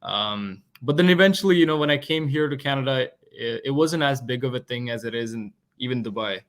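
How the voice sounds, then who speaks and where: A man's voice: mid-range voice, brisk. Brief: young man in his twenties, guest on a podcast, laid-back and chatty, mid-range voice. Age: 20s. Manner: relaxed, chatty storytelling. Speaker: guest, product manager. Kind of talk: casual interview talk.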